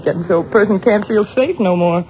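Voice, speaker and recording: A man's voice: high voice, brisk, darkish tone, lightly acted. A man playing a nervous diner counterman. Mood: chatty. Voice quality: working-class slangy speech. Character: nervous middle-aged male diner counterman. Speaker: hamburger stand counterman. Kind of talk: 1940s radio acting. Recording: noisy old radio broadcast.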